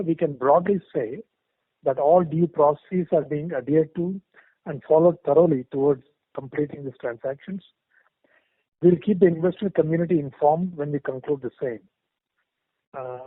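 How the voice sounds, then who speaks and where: Over a thin, dry phone connection, a man with an Indian accent speaks in a slightly high voice. He gives a formal update to investors in a polite and careful manner. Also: no echo. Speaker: company executive. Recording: dry, tinny phone conference line.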